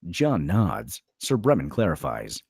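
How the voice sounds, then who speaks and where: A man's voice: sits low, huge pitch swings, measured pace, a little mellow, husky, dry recording, clean studio sound. A man reading screenplay stage directions aloud as a dramatic narrator at a table read.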